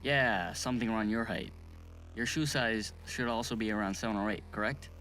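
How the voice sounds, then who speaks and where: A man's voice: fairly low pitch, fairly quick, pushed and bright. Man acting an earnest autopsy doctor, noisy recording. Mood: matter-of-fact, sympathetic. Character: earnest male autopsy doctor, talking while he works. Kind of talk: amateur audio drama acting. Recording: background noise.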